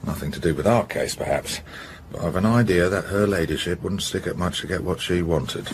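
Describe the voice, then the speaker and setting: An actor playing a blunt, jovial police detective in a radio drama, with an English accent and a breezy, teasing manner. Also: male voice, deep voice, fairly quick, a little hiss or hum, close to an everyday voice. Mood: sardonic.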